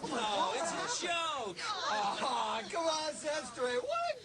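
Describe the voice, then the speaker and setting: A woman's voice: sits high, very animated, extremely slow, shouting, full character voice. A woman playing a dismissive, sarcastic teenage party guest in a dramatised audiobook. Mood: scoffing. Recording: noisy party crowd behind. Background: party crowd.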